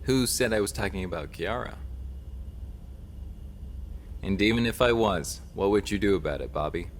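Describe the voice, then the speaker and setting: An actor playing a steady, determined police detective in an audio drama, confident and matter-of-fact. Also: male voice, lowish voice, fast talker, a little hiss or hum, close to an everyday voice. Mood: cool, goading.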